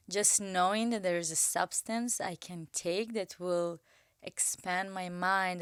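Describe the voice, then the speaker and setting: A woman guest on a podcast, speaking in a thoughtful, soft-spoken way in casual chat. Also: fairly low pitch, clear, resonant tone, dry recording.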